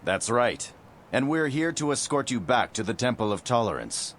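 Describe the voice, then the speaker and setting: An actor playing a confident, calm hero and escort in a video game cutscene. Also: male voice, mid-range voice, moderate pace, slight background noise. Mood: assured. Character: confident heroic male escort, calm and assured.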